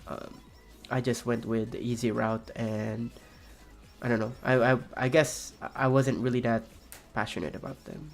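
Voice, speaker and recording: A young man with a raspy voice, streaming a game and rambling casually with many fillers and restarts. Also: mid-range voice, little pitch movement, normal speed, faint music behind. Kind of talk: casual chat while playing a game.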